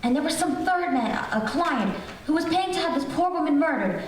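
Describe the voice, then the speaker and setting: A woman's voice: sits high, animated, fairly quick. A woman playing an anxious, demanding invalid wife on the telephone in an old-time radio drama, imperious and fussy. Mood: agitated, insistent. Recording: distant echoey hall, faint noise.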